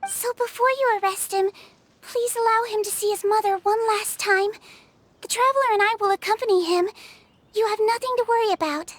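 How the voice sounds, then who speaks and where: A woman voicing a gentle young female melusine nurse in a game, speaking softly with calm reassurance. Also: very high voice, fairly quick, no echo. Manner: soft, calm and kindly reassuring. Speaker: head nurse. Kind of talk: game cutscene voice acting.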